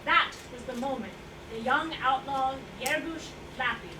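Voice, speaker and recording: A woman performs a sardonic, needling female character in a stage reading. Her voice is high and pointedly ironic. She is heard at a distance in a reverberant room, with clear noise behind her. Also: measured pace. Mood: storytelling. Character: wry, sardonic woman questioning a profiteer. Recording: echoey, noisy room recorded from far off.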